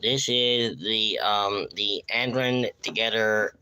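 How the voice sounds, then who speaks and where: A man casually presenting on a live stream in a halting, repetitive way, often losing his thread. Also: lowish voice, sharp tone, slight background noise, rough recording. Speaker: live streamer showing a radio collection.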